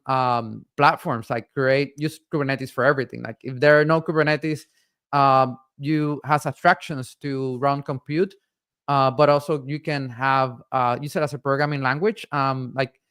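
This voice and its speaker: A man from Puerto Rico hosting a casual tech live stream, talking in halting bursts with lots of fillers and restarts. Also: medium pitch, a bit bright, clear, resonant tone. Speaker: community host and guest presenter.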